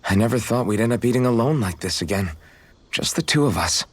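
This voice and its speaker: A man playing an earnest young swordsman in a video game, gentle in manner and awkward when flustered. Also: mid-range voice, expressive, a little fast, no echo, natural voice. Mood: warm, sincere. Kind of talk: video game cutscene acting.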